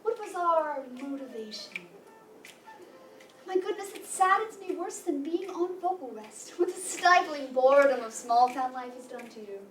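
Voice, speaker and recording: A high-pitched, grandiose young-man's big sister played by a woman in a stage reading. She is a self-important actress who declaims with theatrical flourish. The room is echoey and the mic far off. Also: expressive, normal speed, fairly bright tone, faint music behind. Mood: indignant, haughty. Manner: grandiose, dramatic enunciation. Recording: roomy, distant mic, faint noise.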